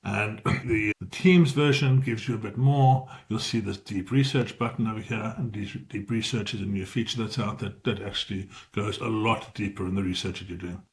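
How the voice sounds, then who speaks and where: A man's voice: on the low side, fairly even intonation, moderate pace, few pauses. A middle-aged man with a South African accent, casually walking viewers through a tutorial and correcting himself as he goes. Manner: informal, explanatory, self-correcting as he talks. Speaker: tutorial presenter. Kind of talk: casual screen-share tutorial.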